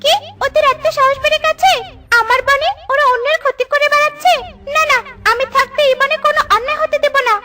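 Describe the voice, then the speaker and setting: A woman's voice: very high-pitched, steady tone, fairly quick, sharp tone, faint music behind, pitched above the performer's own voice. A woman voicing a stern, imperious peacock queen who scolds and threatens in a cartoon story. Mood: outraged. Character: stern, outraged peacock queen ruling the forest.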